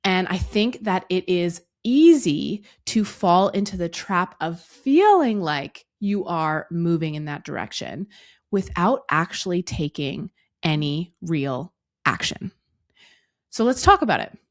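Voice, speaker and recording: A woman in her thirties hosting a solo podcast, her voice hoarse and raspy from a cough, talking earnestly and reflectively to the listener. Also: lowish voice, very animated, unhurried. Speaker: podcast host.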